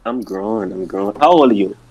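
A man's voice: fairly low pitch, a little fast, darkish tone, muffled recording. A teenage boy making awkward, hesitant small talk as a contestant on a video call. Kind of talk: casual chat on a group call.

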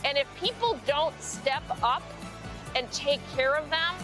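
A woman's voice: high-pitched, lively intonation, a little slow, music in the background, poor audio. A middle-aged woman speaking outdoors by a lake, answering interview questions in an enthusiastic, candid way. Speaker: lighthouse owner being interviewed.

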